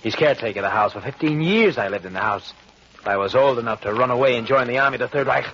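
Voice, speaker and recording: An actor plays a ruthless young escaped officer in an old-time radio drama. The voice sits in a mid range and stays cold, commanding and threatening. The sound is dull, with faint hiss. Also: male voice, medium pitch, fast talker, fairly bright tone, close to an everyday voice. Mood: menacing. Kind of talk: 1940s radio acting.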